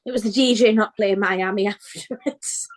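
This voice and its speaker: A woman co-hosting a casual podcast chat, bubbly and quick to laugh. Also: medium pitch, steady tone. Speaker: podcast co-host.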